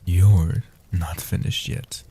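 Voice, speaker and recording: Man as a deep, breathy guardian vampire. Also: steady tone, dark tone, exaggerated voice. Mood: tender, reassuring. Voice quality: breathy, husky, half-whispered. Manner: slow, soothing, reassuring. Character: gentle old male guardian vampire, tender and protective. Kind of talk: soft-spoken comfort audio roleplay.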